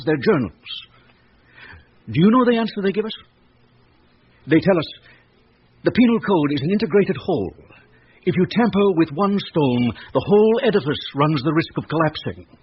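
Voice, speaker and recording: A man playing a courteous, erudite, middle-aged gentleman with an obsession, speaking deliberately and at length in a radio drama. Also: on the high side. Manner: genteel, probing, deliberate, loquacious. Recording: dull old radio recording, faint noise.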